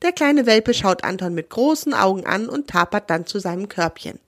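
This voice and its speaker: A hoarse woman with a cold, hosting a children's podcast and reading a story aloud in a friendly, expressive way. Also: mid-range voice, normal speed, dry recording, clean studio sound.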